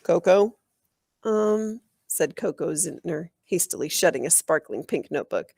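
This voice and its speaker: A woman reading a children's book aloud in an animated, expressive storytelling style. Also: low voice, moderate pace, vocal fry, slightly rough, no room sound, studio quality. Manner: animated, acts out dialogue.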